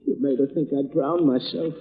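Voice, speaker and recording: A man's voice: mid-range voice, steady tone, moderate pace, lightly acted. An actor playing a wronged, defiant captive father, protesting dramatically in a 1930s-style radio thriller. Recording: dull old radio recording.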